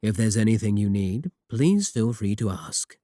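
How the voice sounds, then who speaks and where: A man playing a courteous, manipulative warlock shopkeeper in a roleplay audio, coaxing and flattering his guest with polite, unhurried talk. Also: fairly low pitch, dry recording, clean studio sound. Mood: courteous, welcoming. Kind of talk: second-person roleplay audio acting.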